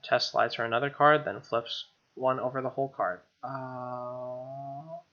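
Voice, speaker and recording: Male let's-play host; sharp bright voice, mid pitch, casual snark and swearing, dull recording. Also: fairly even intonation, a little slow. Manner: snarky, profane asides. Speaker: gaming video host. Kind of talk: reading a visual novel aloud with casual commentary. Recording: dull lo-fi mic over music. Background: game music with guitar.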